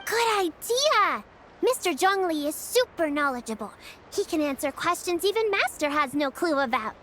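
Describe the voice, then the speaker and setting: A woman voicing a cute, excitable, curious little girl character in a video game, with a childlike, exclamatory delivery. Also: extremely high pitch, moderate pace, slight background noise, exaggerated voice. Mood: eager. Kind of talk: animated video game dialogue. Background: music.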